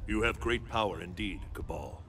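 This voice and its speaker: A man playing a wise thunder god in a video game, solemn, formal and measured. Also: lowish voice, a bit bright, slight background noise, close to an everyday voice. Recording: music under the voice.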